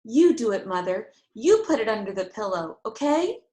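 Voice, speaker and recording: Woman as an outspoken little first-grade girl, high-pitched. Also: sing-song, moderate pace, mellow, echoey room. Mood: anxious, insistent. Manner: blunt, childish, emphatic phrasing. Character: outspoken, anxious first-grade girl telling her story.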